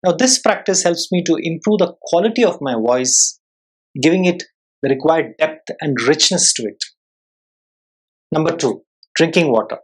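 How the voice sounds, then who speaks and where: An instructor giving an explanatory lesson in a measured, formal, clearly enunciated way. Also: mid-range voice, animated, rough recording. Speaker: soft skills instructor and public speaker.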